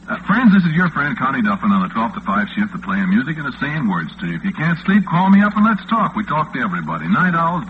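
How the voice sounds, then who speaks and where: A man playing a chatty, folksy overnight radio disc jockey with an American accent, in a casual, halting old-time radio drama style. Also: medium pitch, close to an everyday voice. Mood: folksy, inviting. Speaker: late-night radio host. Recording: muffled, noisy vintage radio recording.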